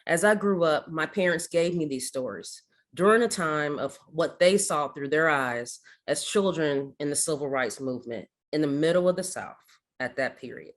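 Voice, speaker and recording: A woman in her forties, from Ohio, giving a keynote speech at a ceremony in a measured, rhetorical way, building toward challenge questions to the audience. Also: sits low, expressive. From Ohio, USA. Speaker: keynote speaker.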